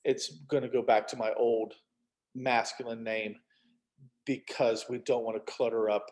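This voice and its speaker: Male author guest, medium pitch, casual anecdotal chat with a joking, self-deprecating streak. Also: level tone, unhurried, a little mellow.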